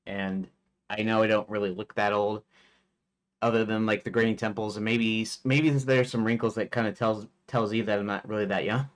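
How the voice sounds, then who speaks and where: A man's voice: fairly low pitch, flat delivery, moderate pace. Rambling man in his forties. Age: 40s. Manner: rambling, hesitant, many fillers and restarts. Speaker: game developer streaming his work. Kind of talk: casual live-stream chat.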